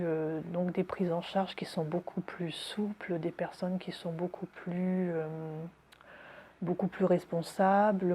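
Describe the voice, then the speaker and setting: A woman's voice: fairly low pitch, warm tone. A middle-aged woman speaking in an earnest, reflective way, as a nurse giving an interview about her work. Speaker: hospital nurse. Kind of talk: documentary interview testimony.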